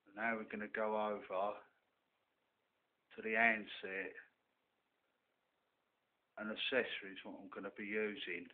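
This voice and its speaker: A man giving an informal show-and-tell demonstration, explaining haltingly piece by piece and often checking in with "right". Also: fairly low pitch, level tone. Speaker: hobbyist presenter. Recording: muffled, phone-like narrow-band camera audio.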